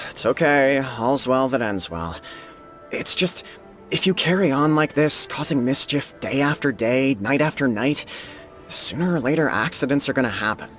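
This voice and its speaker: A man's voice: medium pitch, lively intonation, moderate pace, fairly bright tone, faint music behind, natural voice. An actor playing an exasperated but reasonable male shop owner in a video game, scolding in a weary, lecturing way. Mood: weary, forgiving. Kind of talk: scripted video game voice acting.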